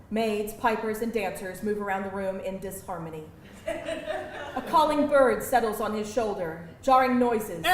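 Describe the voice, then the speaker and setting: A woman narrating a screenplay at a live table read, reading the stage directions in an expressive, theatrical way. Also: mid-range voice. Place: live event room with an audience. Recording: echoey live room, distant mic, music, audience. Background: music and audience noise.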